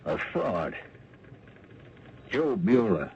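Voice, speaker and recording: Suspicious radio cop: lowish, terse, understated, on a dull recording with faint noise. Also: male voice, sing-song, extremely slow, darkish tone, exaggerated voice. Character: musing, suspicious police investigator. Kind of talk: old-time radio crime drama acting.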